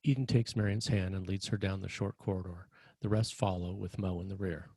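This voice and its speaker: A man with a low, husky voice narrates a screenplay. He reads scene directions as scripted narration, and the sound is dry and close. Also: steady tone, brisk, warm tone, no echo. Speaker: narrator reading stage directions.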